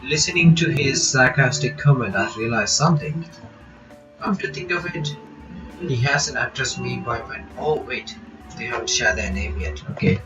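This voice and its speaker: A man reading a visual novel aloud as a reaction host, stumbling at times and breaking in with quick asides. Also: medium pitch, animated, reverberant, muffled recording. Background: game music.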